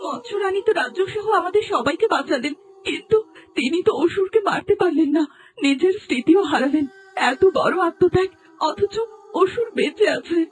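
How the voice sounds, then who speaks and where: A woman's voice: very high voice, lively intonation, brisk, noisy background. A woman voicing a sorrowful, innocent young daughter in a fairy-tale cartoon, plaintive and questioning. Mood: sad, pleading.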